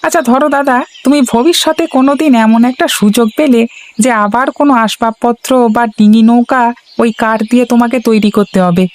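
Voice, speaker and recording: A woman's voice: a little high, brisk, close to an everyday voice. A woman voicing a friendly, chatty younger male village barber in a cartoon, speaking deferentially. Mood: friendly, curious. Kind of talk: cartoon story voice acting. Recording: music behind the voice.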